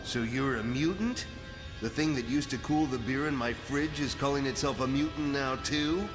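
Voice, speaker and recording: A man playing a gruff, irreverent mutant fighter in a comic-book drama, talking in blunt slang. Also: mid-range voice, normal speed, close to an everyday voice. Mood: incredulous. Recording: echoing, with loud music behind.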